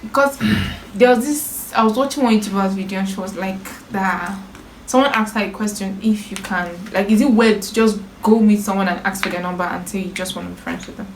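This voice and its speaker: A woman's voice: mid-range voice, fairly quick, roomy sound, slight background noise, low-quality recording. A young Nigerian woman with a Nigerian English accent, hosting a casual chat and teasing her brother in a playful way. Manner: playful, teasing, laughs often. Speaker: YouTuber host.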